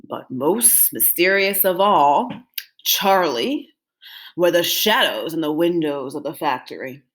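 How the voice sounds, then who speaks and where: A woman acts out an old storybook grandfather with a gentle, mysterious temper. The telling is suspenseful and drawn out, with lively swings of pitch. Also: slow pace, heavily acted.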